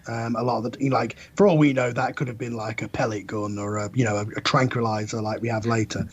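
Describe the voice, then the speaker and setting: A man with a husky, slightly rough voice chats as a podcast guest. He rambles in a casual way, circling back to correct himself, at an average pitch. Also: fairly even intonation, quick pace, dull audio. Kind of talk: casual discussion.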